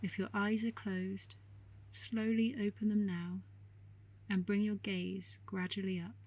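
A woman guiding a meditation in a calm, soothing, measured way with long pauses and a soft, breathy voice. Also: medium pitch. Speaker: meditation guide. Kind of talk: guided meditation narration.

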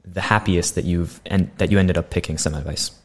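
A man's voice: low-pitched, fairly even intonation, a little fast. A young man hosting a student podcast, politely reading prepared questions into a studio condenser mic. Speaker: podcast host. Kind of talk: scripted interview hosting. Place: small bright studio room. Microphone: studio condenser mic on boom arm.

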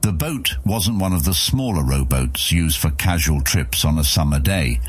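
A man narrating a fantasy audiobook in a measured storytelling manner. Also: extremely low pitch, animated, husky.

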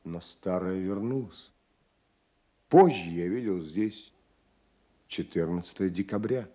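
An actor playing a melancholy 19th-century painter in a Russian-era radio play, somber and reflective, with a husky voice. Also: male voice, low-pitched, animated, slow, warm tone, heavily acted. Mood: somber, grieving. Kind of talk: Soviet radio play acting.

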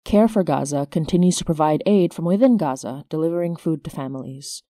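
A woman's voice: deep voice, fairly quick, dark tone, dry recording, studio quality. A woman hosting her podcast, reading scripted announcements as its creator.

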